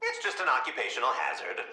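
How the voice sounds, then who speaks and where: A flamboyant clown villain from a video game, talkative and full of wisecracks. The voice is high for a man and extremely animated, leaping around theatrically with a very sharp, forceful edge. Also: reverberant, full character voice.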